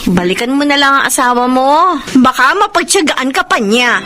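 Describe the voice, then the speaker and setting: High-voiced, blunt, selfish Filipina mistress. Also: female voice, animated, normal speed, loud music behind the voice. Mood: cold, dismissive. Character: blunt, selfish mistress coldly leaving her injured lover. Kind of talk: Filipino radio drama acting. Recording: noisy radio drama recording, clicks.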